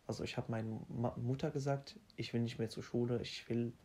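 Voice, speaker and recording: Young man, mid-pitched, halting interviewee who restarts his sentences. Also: level tone, dark tone. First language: Arabic. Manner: halting, restarts often, simple phrasing. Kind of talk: casual interview.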